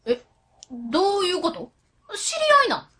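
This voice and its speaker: A woman's voice: extremely high pitch, big pitch swings, moderate pace, bright, forceful tone, full character voice. An actress playing a brash, nosy young woman colleague with an Osaka accent in a Japanese radio drama, blunt and exclaiming. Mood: blunt, surprised.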